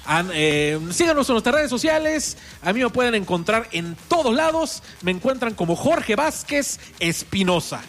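A man's voice: very high voice, sing-song, normal speed, few pauses, fairly bright tone. A man with a Mexican accent hosting a radio sports show in casual chat, chatty with fillers and restarts. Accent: Mexican Spanish. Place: radio studio.

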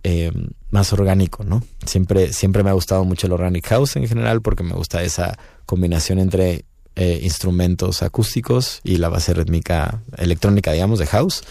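A Mexican man speaks in a very low, mellow voice with a dry, close sound. He chats loosely and meanders, filling pauses as he thinks aloud in a radio booth interview. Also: creaky, no room sound. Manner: relaxed, rambling, frequent fillers and "¿no?". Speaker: guest musician. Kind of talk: casual interview talk.

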